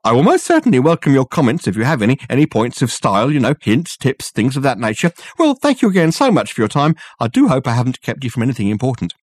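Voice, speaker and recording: A man voicing a flamboyant, wordy gentleman who talks grandly and at length. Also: medium pitch, big pitch swings, fast, hardly any pauses, husky, dry recording, clean studio sound, voice pushed up. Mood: effusive. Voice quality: pompous, wordy, effusive. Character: flamboyant verbose man, pompous and triumphant.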